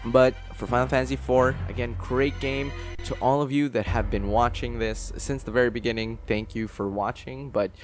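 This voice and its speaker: A man's voice: mid-range voice, steady tone, moderate pace, few pauses. A young man hosting a game playthrough, talking casually about the game in a rambling way, full of fillers and restarts. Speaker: let's play host. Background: game music.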